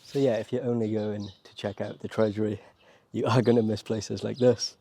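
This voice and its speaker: A British man from Wales talking in a relaxed, conversational, appreciative way, like a casual travel vlog. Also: on the low side, normal speed, a little hiss or hum. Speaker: travel vlogger. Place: outdoors and inside rock-cut tombs at a desert site.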